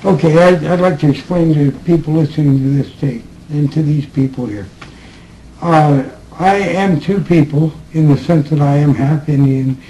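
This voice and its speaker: A man speaks to a small group in a room, slow and reflective, often laughing between his remarks. His voice sits a little high. The old tape carries a faint hiss. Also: warm tone, poor audio. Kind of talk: recorded group conversation.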